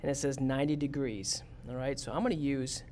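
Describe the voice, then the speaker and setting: A man's voice: mid-range voice, fairly even intonation, brisk, darkish tone, slight background noise. A man, a teacher, walking his class step by step through a robot programming task in a screen-recorded lesson. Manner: plain, step-by-step, talks students through it.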